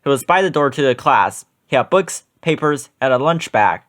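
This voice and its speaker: A man's voice: medium pitch, lively intonation, normal speed, a bit bright. A man narrating a children's storybook as a read-aloud.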